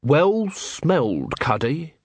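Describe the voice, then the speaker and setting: A man voicing a gruff, wry captain of a city watch, dry and sardonic, in an audiobook. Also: on the high side, sing-song, slow pace, dry recording, heavily acted. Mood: questioning.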